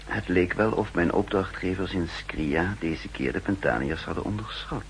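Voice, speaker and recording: An actor playing a brooding undercover double agent who narrates his story in measured, introspective Netherlands Dutch, in a 1960s radio drama. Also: sits low, poor audio. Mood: brooding, calculating. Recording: hissy 1960s radio recording.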